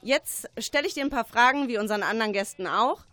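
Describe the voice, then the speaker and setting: A woman presents a radio interview in an informal, direct way. Her voice sits a little high for a woman and is recorded dry, with faint music underneath. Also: quick pace, fairly bright tone, dry recording.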